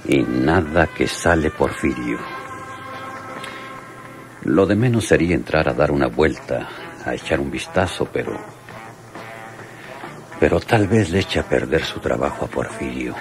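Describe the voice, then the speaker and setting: An actor playing a brooding, worried lawman thinking aloud in a radio drama monologue. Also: a bass voice.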